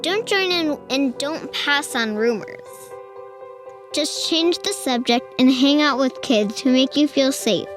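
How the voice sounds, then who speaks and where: Lady playing a caring school counselor lady, high voice, slow n reassuring, storybook style. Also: over music. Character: calm, caring female school counselor giving advice.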